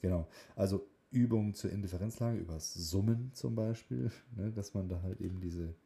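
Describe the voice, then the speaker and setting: A man co-hosting a casual podcast, teasing and joking, often halting and correcting himself. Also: deep voice, gravelly, warm tone. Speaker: podcast co-host. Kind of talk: casual banter and chat about voice training classes.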